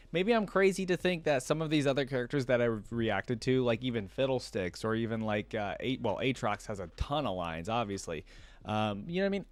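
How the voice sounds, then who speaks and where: A man's voice: medium pitch, no echo. A man hosting a reaction video, commenting casually and slangily as he muses aloud into a close microphone. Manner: informal, slangy, musing aloud.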